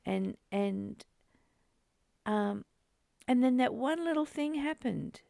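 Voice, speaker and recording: A high female voice with plenty of fry in it, recorded very dry and close. She leads a reflective spiritual discussion, open and confiding. She often repeats a phrase to give it weight. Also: animated, slow pace, dark tone, no room sound. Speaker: host of a spiritual book study.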